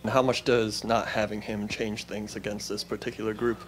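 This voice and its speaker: A man's voice: lowish voice, flat delivery, normal speed. A man, a sports reporter asking questions at a press conference. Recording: noisy press room.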